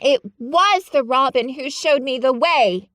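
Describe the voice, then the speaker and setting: A woman's voice: high voice, measured pace, a bit bright, no echo, clean studio sound, pitched above the performer's own voice. A woman voicing a bold young girl who calls out breathlessly and earnestly. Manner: calling up with a gasp.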